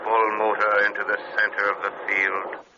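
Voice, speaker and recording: An actor playing an obedient living-dead pilot in an old-time radio drama, mechanically and blankly echoing orders. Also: male voice, on the low side, monotone, normal speed, lightly acted. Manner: mechanical, droning, repetitive. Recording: noisy, muffled old radio recording.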